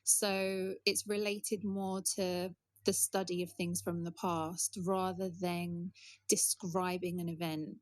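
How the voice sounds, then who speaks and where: A woman's voice: fairly low pitch, level tone, slow, no echo. A woman co-hosting an English-learning podcast, explaining word meanings with examples in a casual, friendly way. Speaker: podcast co-host.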